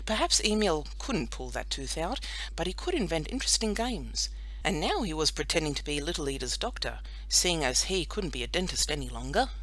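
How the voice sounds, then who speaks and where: A woman narrating a children's audiobook in an expressive, scripted storytelling style. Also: sits low, brisk, rough recording.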